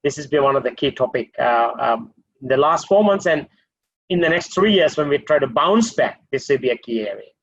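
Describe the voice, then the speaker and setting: A man who is a regional hotel director, giving a measured webinar talk over a video call with many fillers and restarts. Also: medium pitch, animated, vocal fry, no echo. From Maldives. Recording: muffled video call audio.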